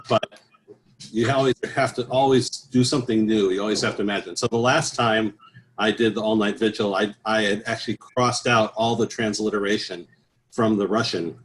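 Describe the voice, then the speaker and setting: Middle-aged male singer, lowish voice, conversational and rambling, slight background noise. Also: fairly bright tone, low-quality recording. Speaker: interview guest, professional choral bass singer. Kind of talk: casual interview talk.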